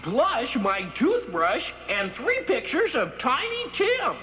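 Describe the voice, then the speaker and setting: Brash Iggy, high, wildly swooping. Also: male voice, huge pitch swings, takes it slowly, sharp tone, faint music behind, heavily acted. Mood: cocky. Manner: folksy, blustery, bumbling. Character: Iggy, brash scatterbrained cowboy-ish new student, male. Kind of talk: broad children's TV comedy. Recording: reverberant hall, faint noise.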